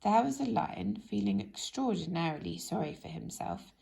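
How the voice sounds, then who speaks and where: A woman reading a children's story aloud in an expressive, animated way, speaking directly to young listeners. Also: mid-range voice, moderate pace, creaky. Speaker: storybook reader.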